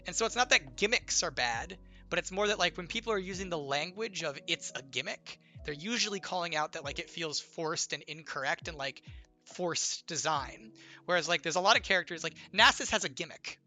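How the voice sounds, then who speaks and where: A man's voice: a little high, animated, fairly quick, bright, forceful tone, faint music behind. A young man who designs games, chatting casually to stream viewers, his voice slightly strained from illness and his talk rambling with lots of "like" and "right?". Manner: rambling explainer, heavy on "like" and "right?", playful teasing. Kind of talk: casual livestream Q&A.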